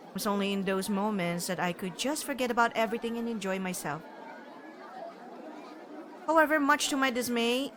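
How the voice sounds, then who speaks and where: A woman streamer with a bright, high-set voice reads game text aloud and chats in an expressive way. She takes her time, and faint noise and game sound sit behind her. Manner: expressive, reacts with frequent laughter and exclamations. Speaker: streamer playing a visual novel. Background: game audio and ambience.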